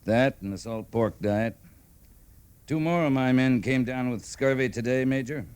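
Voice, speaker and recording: An actor playing a firm, dry-humored cavalry captain in an old-time radio western, plain-spoken and clipped. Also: male voice, mid-range voice, moderate pace, a little mellow, clean tone, lightly acted. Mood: respectful, wry.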